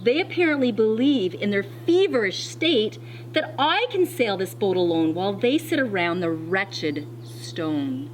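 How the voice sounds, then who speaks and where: A woman schoolteacher reading a children's storybook aloud, expressively and deliberately, with dramatic pauses. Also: medium pitch, slight background noise. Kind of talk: read-aloud of a children's storybook with teacher asides.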